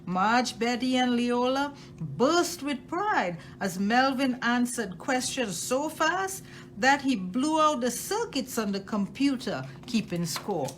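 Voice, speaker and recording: Lively, unhurried woman reading a storybook. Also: a little high, slight background noise, poor audio. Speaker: storytime reader at a library. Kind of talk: expressive read-aloud of a children's picture book.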